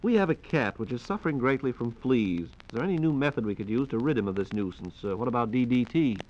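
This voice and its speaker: An American man announces in a formal 1940s radio style, speaking quickly and politely at a medium pitch. The recording is dull and narrow, like an old transcription disc. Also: lively intonation, a little mellow. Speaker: radio program host and announcer.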